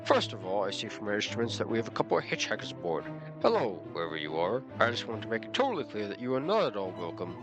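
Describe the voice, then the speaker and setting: A man doing a pompous, deep alien captain. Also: big pitch swings, fast talker, over music. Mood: contemptuous. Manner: pompous, contemptuous. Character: pompous hostile male alien ship captain.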